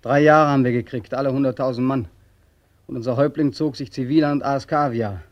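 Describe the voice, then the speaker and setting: An actor playing a desperate, bitter young soldier back from the war in a German radio drama, anguished and intense. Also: male voice, medium pitch, steady tone, brisk, highs cut off, natural voice. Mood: bitter, sardonic.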